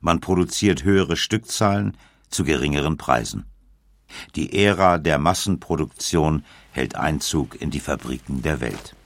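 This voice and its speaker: A man narrating a scripted documentary in a measured, precise way. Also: rumbling low, no echo.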